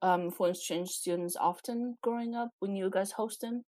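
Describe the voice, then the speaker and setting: A woman's voice: medium pitch, normal speed, dark tone, no echo. A woman co-hosting a podcast asks friendly follow-up questions. Her voice is dry and close. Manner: encouraging, asks follow-ups. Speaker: podcast co-host. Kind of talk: casual interviewing.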